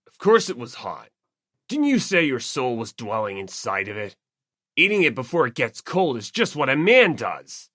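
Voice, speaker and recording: A woman's voice: very deep voice, sing-song, moderate pace, a bit bright, no room sound, studio quality, pitched below the performer's own voice, exaggerated voice. A woman voicing a blunt, manly, sincere teenage tough guy in an audiobook. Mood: forceful, sincere. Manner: blunt, emphatic. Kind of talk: audiobook character acting.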